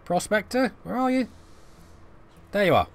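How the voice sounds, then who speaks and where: A man's voice: sits high, lively intonation, normal speed, gravelly. A young British man casually commentating a live game stream, rambling and joking at his own expense while chatting to viewers. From Britain. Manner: rambling, self-deprecating, chats to viewers while fiddling with menus.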